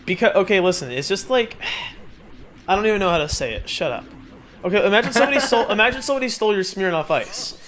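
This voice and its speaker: A man's voice: high voice, lively intonation, fast talker, a bit bright. A man hosting a let's play with casual, rambling, jokey and self-deprecating commentary over game music. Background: video game music.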